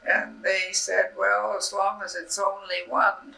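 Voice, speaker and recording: An older man whose first language was Swiss German, reminiscing in a halting, rambling way in an oral-history interview, often trailing off mid-sentence. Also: high-pitched, flat delivery, vocal fry, pushed and bright. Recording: distant mic on old videotape.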